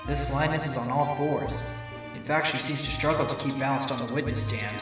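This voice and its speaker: A man's voice: mid-range voice, fairly even intonation, brisk, lightly acted. Radio-play fox attorney male actor: wry, musing, distant voice with long reverb. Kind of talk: audio drama acting with inner monologue. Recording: very echoey, distant voice with music.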